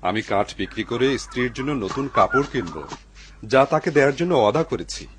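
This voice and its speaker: Humble, devout cartoon woodcutter, played by a man: expressive, lively pitch swings, cautious and kind, audible background noise. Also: fairly quick, close to an everyday voice. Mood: contented. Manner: expressive, musing aloud to himself. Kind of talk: dubbed cartoon voice acting. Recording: clear background noise behind the voice.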